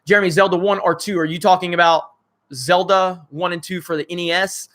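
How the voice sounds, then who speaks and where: Male streamer host, slightly high, bright and crackly voice, blunt and profane, loose joking chat. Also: fairly even intonation, brisk. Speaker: channel host. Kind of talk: casual livestream chat reading viewer comments.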